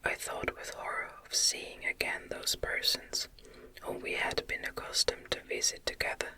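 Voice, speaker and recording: A woman reading a gothic novel aloud as ASMR in a gentle, soothing, breathy whisper. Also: normal speed. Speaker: audiobook reader.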